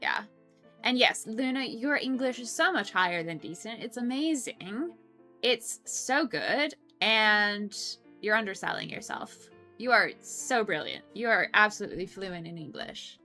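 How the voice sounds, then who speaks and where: A woman's voice: mid-range voice, expressive, measured pace, bright, forceful tone. A young woman hosting a casual coworking stream, reading viewer comments aloud, chatty with frequent laughs and ums. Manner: chatty, laughs often, many ums and restarts. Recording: faint background music. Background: faint music.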